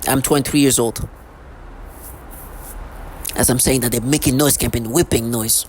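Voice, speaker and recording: A man's voice: medium pitch, steady tone, normal speed, slight background noise. A man in his thirties talking in a rambling, repetitive, agitated monologue as a vlogger. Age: 30s. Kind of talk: unscripted monologue to camera.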